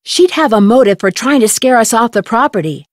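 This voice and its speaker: Give this game's young female sleuth , played by an actress, a slightly high, articulate voice. She is sharp and curious, explaining clues in a matter-of-fact way, recorded very dry. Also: lively intonation, a little fast, dry recording, natural voice. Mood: explanatory. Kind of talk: scripted game voice acting.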